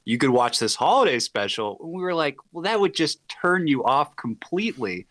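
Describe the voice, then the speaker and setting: A man's voice: fairly high pitch, very animated, moderate pace, fairly bright tone. An effusive man chatting casually as a podcast guest, often acting out imagined dialogue. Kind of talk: casual chat.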